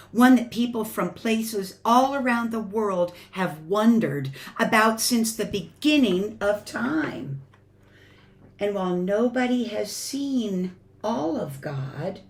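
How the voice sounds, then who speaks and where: A woman reading a children's picture book aloud in an expressive, deliberate way, as a church storyteller. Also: mid-range voice, slight background noise. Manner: expressive, deliberate, shifting between emphatic and hushed. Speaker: church family ministry storyteller.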